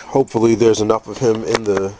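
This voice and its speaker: A man's voice: on the low side, fairly quick, noisy background. Stuffy-voiced man teaching figure painting. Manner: calm, methodical, with frequent restarts. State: stuffy, congested from a cold. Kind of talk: painting tutorial narration.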